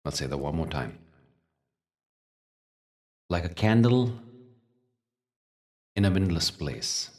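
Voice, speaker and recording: Very deep-voiced man guiding meditation slowly. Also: distant mic. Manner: unhurried, gentle, with long pauses between short phrases. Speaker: meditation teacher. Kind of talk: guided meditation with spiritual talk.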